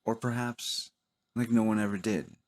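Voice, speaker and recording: A man voicing a watchful master of authority in an audio drama, measured and musing. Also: fairly low pitch, steady tone, close to an everyday voice.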